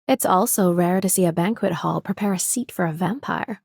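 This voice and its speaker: A woman's voice: fairly low pitch, normal speed, vocal fry, darkish tone, breathy edge, no room sound, clean studio sound. A woman voicing a cool, sly vampire noblewoman in a game, composed and dryly witty. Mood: wry. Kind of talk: scripted game character greeting.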